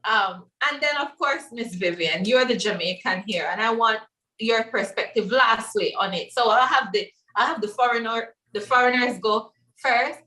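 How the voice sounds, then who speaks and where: A woman's voice: fairly high pitch, moderate pace, bright, forceful tone, reverberant, recorded from a distance. A woman from Belize moderating a panel discussion in a chatty manner with many fillers.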